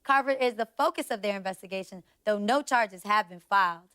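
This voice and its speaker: A woman's voice: medium pitch, a little fast, sharp tone, clear, resonant tone, natural voice. An actress playing a composed female TV news reporter, reading a scripted report in a formal manner.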